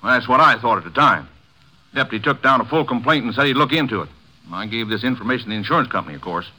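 A man's voice: medium pitch, expressive, rapid-fire, bright, forceful tone, low-quality recording. An actor in a 1950s radio drama playing an indignant, folksy working man who blusters in plain rustic grammar, on an old radio recording. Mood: riled up.

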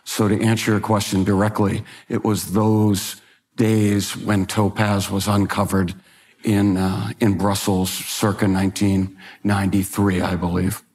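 A man answering a question on a panel in a measured way, recalling intelligence work. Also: on the low side, level tone, darkish tone. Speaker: panel speaker, former intelligence officer.

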